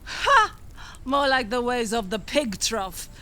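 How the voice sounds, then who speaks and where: An actress playing a sharp-tongued Viking wife in a game cutscene, teasing with sarcasm. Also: female voice, a little high, sing-song, slow, exaggerated voice. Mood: mocking. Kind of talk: video game cutscene acting.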